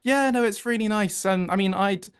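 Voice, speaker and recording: Enthusiastic British man, lightly high-pitched. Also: normal speed, clear, resonant tone. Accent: British English. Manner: enthusiastic, effusive. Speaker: interview guest, audio drama producer. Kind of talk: conversational radio interview.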